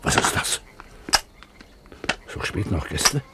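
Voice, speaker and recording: An actor playing a timid old English gentleman and father in a 1950s German radio play, muttering and hesitant. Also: slow pace, lightly acted. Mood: musing. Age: older. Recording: crackly, noisy 1950s radio recording.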